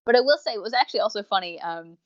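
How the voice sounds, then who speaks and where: A woman's voice: mid-range voice, animated, very fast. A woman co-hosting a podcast, chatting casually and explaining her opinions in long, wordy, unscripted sentences. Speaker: podcast co-host. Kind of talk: casual unscripted review chat. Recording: slightly dull, lo-fi microphone sound.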